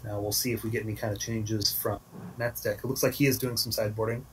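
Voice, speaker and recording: A man's voice: fairly low pitch, level tone, fast talker, a bit bright. Man commentating from off-mic, noisy recording. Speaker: esports card game commentator. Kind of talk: casual live analysis commentary. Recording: distant mic with clear background noise, clicks.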